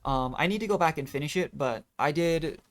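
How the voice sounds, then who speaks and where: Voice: mid-range voice, brisk. A game streamer rambling casually through gameplay commentary, thinking aloud in a self-deprecating way. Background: video game music.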